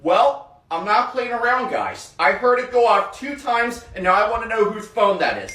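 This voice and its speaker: A man playing a strict but caring schoolteacher who scolds sternly and comforts softly. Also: on the high side, expressive, moderate pace. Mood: stern, scolding. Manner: stern and scolding, then soft and consoling. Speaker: teacher in a classroom skit. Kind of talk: comedic classroom sketch. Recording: roomy echo, distant mic.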